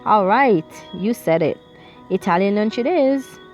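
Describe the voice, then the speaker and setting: A woman's voice: mid-range voice, expressive, measured pace, soft background music, pitched above the performer's own voice, lightly acted. A woman voicing a courteous, suave adult man in a narrated romance story. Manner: courteous, smooth.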